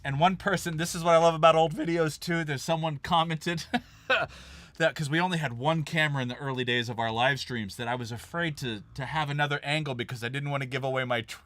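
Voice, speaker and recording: A man's voice: on the high side, steady tone, fairly quick, fairly bright tone, no room sound. A man from Kansas hosting a casual live-stream chat about recording studios, chatty and laughing at himself. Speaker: host.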